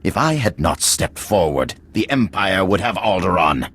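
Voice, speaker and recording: An actor playing an arrogant, boastful, defiant usurper in a video game. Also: male voice, sits low, sing-song, moderate pace, a bit bright, husky, full character voice. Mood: indignant, boastful. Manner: haughty, boastful. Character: arrogant, defiant middle-aged male usurper of a throne.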